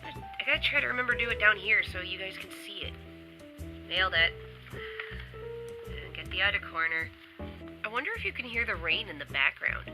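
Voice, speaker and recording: A woman's voice: mid-range voice, expressive, fairly quick, pauses often, over music, low-quality recording. A woman vlogging casually at home, chatty and upbeat, a little stuffy from a cold. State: stuffy from a cold, occasional coughing. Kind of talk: casual chat to camera. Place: home in New York City.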